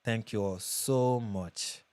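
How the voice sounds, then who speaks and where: A man's voice: fairly low pitch, takes it slowly, darkish tone, dry recording. A young Nigerian man hosting a casual livestream, reading viewer comments and chatting with restarts and repeats. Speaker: livestream host.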